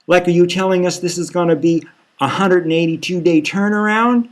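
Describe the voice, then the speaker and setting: A man's voice: high-pitched, fairly even intonation, fairly quick, close to an everyday voice. A man voicing an indignant surrogate mother in a satirical audiobook, firing insistent, repeated questions. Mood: indignant, demanding. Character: indignant, nagging surrogate mother confronting her boss. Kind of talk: satirical audiobook character reading.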